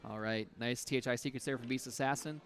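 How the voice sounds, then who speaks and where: Laid back guy commentating a speedrun, laughs a lot, clear music in the background. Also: medium pitch, fast talker. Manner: relaxed, chatty, laughs often, explains tech. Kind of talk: live game commentary. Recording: music playing behind.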